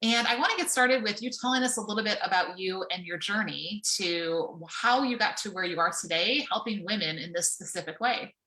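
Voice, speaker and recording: A woman's voice: medium pitch, a little fast, few pauses, bright, forceful tone, poor audio. A woman in her forties hosting a podcast, introducing her guest with enthusiasm. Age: 40s. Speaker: podcast host. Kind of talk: interview introduction.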